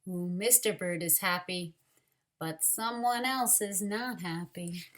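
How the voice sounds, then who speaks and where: A woman teacher leading a read-aloud storytime for young children, speaking deliberately and directly to them. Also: on the low side, slight background noise.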